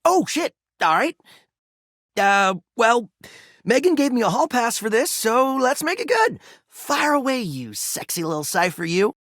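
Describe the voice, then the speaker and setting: Goofy, hugely animated game developer. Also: male voice, extremely high pitch, a little slow, no room sound, clean studio sound, exaggerated voice. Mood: playful. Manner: playful, slangy. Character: goofy, good-natured male voice actor and game developer. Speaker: actor and game creator character. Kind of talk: casual video game voice acting.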